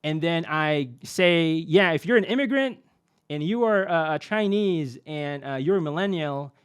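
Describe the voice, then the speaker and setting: A young man talks marketing as a podcast guest, his voice lively and swinging up and down in pitch. He sits a little higher than most men and keeps tossing in "uh" and "right?" as he explains. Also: a little slow, clean tone. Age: young adult. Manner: animated, many fillers and tag "right?". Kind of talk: conversational explaining.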